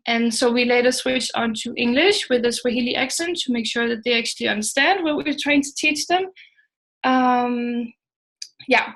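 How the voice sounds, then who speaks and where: A woman with a Danish accent, a native Danish speaker, presenting informally as a startup co-founder, pausing hesitantly mid-sentence. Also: a little high, fairly bright tone, poor audio. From Denmark.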